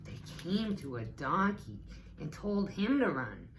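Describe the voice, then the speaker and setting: An American woman reads a picture book to small children at a slow pace. Her voice sits a little low and swings widely as she turns aside to talk to her listeners. Faint noise sits behind her. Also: rough recording. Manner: expressive, pausing to talk to young listeners. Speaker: teaching assistant reading to children. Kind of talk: read-aloud children's story time.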